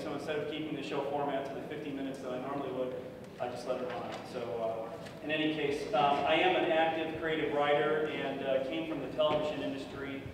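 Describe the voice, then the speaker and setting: A middle-aged man giving a casual conference talk, loud and chatty with many fillers. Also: mid-range voice. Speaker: conference speaker. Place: large conference room with projector. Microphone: podium microphone from far off. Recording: echoey room, distant mic, noisy.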